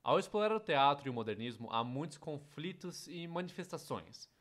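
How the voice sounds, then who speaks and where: A man's voice: on the high side, animated, moderate pace, a bit bright. A man with a Brazilian accent presenting an educational course in scripted narration. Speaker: educational presenter dubbing a theatre history course.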